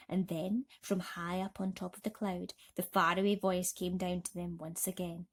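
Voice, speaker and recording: A woman storyteller reading a children's bedtime story dramatically and expressively, with emphatic pauses and swells. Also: on the low side, husky.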